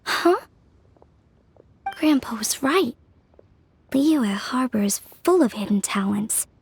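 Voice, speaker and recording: A woman playing a polite, excitable young lady minding a shop in a video game, courteous and expressive. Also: on the high side, slow, vocal fry, husky, full character voice. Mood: surprised.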